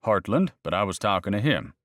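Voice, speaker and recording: A man's voice: lowish voice, sing-song, brisk, fairly bright tone, no room sound, studio quality. A man voicing a stern, officious male game warden in a read-aloud story, clipped and addressing people as son.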